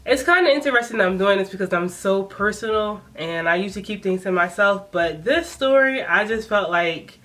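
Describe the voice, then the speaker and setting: A woman's voice: medium pitch, moderate pace, hardly any pauses. Chatty woman in her twenties telling a story. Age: 20s. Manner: animated, laughing, chatty. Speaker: vlogger. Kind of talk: casual story time.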